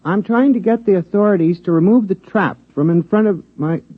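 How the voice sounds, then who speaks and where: An actor playing a small, mild, henpecked talking mouse in a 1950s radio drama, polite and hesitant, speaking plainly. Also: male voice, high-pitched, fairly quick. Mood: innocent, curious. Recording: old radio recording, thin telephone-like sound.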